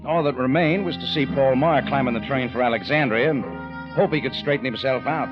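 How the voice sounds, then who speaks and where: A man's voice: mid-range voice, brisk, natural voice. An actor playing a tough, unflappable American adventurer who tells his own case in clipped, wry 1940s radio style. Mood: brooding narration. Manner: clipped, wry, hard-boiled. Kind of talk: 1940s radio detective acting and first-person narration. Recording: muffled old radio broadcast with music.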